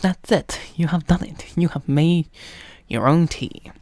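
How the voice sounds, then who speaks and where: A young British man doing a playful, coaxing ASMR roleplay in casual instructional chat, often slipping into a breathy whisper. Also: a little high, measured pace, a little mellow, no echo, clean studio sound.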